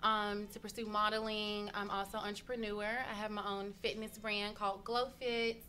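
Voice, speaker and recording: A woman's voice: mid-range voice, little pitch movement, normal speed, sharp tone. A woman from Mississippi talking casually as a guest introducing herself on a show. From Mississippi, USA. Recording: faint background noise.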